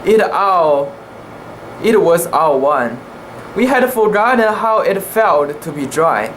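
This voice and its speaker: An amateur narrator of unclear sex giving a dramatic reading of a literary sea story, careful and halting, with imperfect pronunciation. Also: medium pitch, animated, a bit bright, a little hiss or hum.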